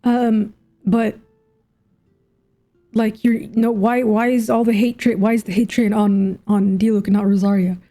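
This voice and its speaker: A woman's voice: medium pitch, little pitch movement, unhurried, dark tone. A young woman giving casual let's-play commentary, musing aloud half to herself. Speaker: gaming streamer. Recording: game music behind the voice.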